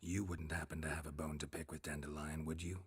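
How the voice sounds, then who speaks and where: An actor playing a terse, stoic male monster hunter in a game cutscene, with a gravelly, husky voice and flat, understated delivery. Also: extremely low pitch, fast talker, full character voice.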